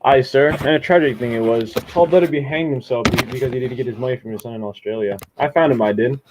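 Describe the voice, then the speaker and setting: A man's voice: mid-range voice, fast, lightly acted. A man playing a kindly, talkative village postman in a radio drama, folksy and repeating himself. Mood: somber, chatty. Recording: noisy recording with clicks.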